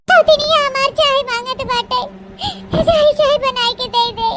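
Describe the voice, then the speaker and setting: A woman's voice: very high-pitched, slow pace, a bit bright, full character voice. A woman voicing a wretched, tricked young bride in a comic cartoon melodrama, lamenting tearfully with an Awadhi accent. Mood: tearful, despairing. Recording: very noisy cartoon voice track.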